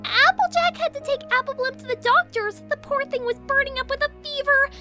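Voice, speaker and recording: A woman voicing a bubbly, hyperactive pink female party pony in a fan audio drama, chattering excitedly. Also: very high voice, brisk, faint music behind.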